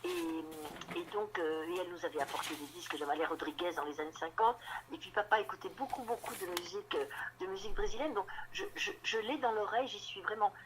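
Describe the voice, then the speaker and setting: Woman, novelist guest, radio interview, halting, repeats words, middle pitch, faint background noise. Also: expressive. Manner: halting, repeats words while searching for them.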